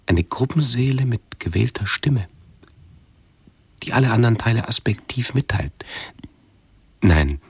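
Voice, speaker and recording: An actor playing a brooding, hypochondriac intellectual with pedantic, ornate diction in a radio drama. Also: male voice, medium pitch, lively intonation, unhurried, long pauses, breathy edge, no echo, exaggerated voice. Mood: brooding, insistent. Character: brooding, hypochondriac intellectual man tormented by his body. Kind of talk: 1970s German radio drama acting.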